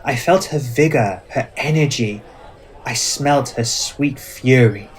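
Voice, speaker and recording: A man acts out an old-world male vampire with a medium-pitched voice. He is courtly and commanding, with passionate, poetic and formal phrasing, performed theatrically as ASMR roleplay. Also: unhurried, close to an everyday voice, rough recording. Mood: wistful, assured.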